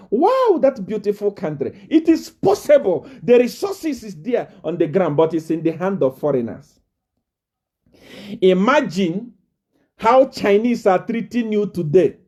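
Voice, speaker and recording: Fiery, animated male preacher. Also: high-pitched. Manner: fiery, repetitive, rising to shouts and laughter. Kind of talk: impassioned live-stream address.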